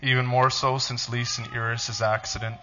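A man, a pastor, reading a blog aloud to his church in a halting, emotional voice. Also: mid-range voice, level tone, sharp tone, muffled recording. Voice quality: tearful, halting.

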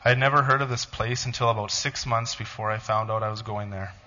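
A man, a pastor, reading a blog aloud to his church in a halting, emotional voice. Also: fairly low pitch, fairly even intonation, bright, forceful tone, muffled recording. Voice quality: tearful, halting.

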